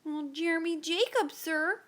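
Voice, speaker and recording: Woman voicing a high, polite little boy. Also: unhurried, fairly bright tone. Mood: polite, earnest. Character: polite, earnest young boy recalling his pirate adventure.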